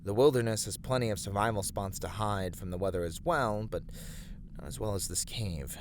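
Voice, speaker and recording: A man acts out a steadfast male adventurer in a fantasy audio roleplay. His voice sits on the low side, with measured, slightly formal phrasing that encourages and plans. Clear background ambience runs behind the voice. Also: background noise, lightly acted. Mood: thoughtful, deliberative. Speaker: voice actor in a roleplay. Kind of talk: scripted ASMR fantasy roleplay addressed to the listener.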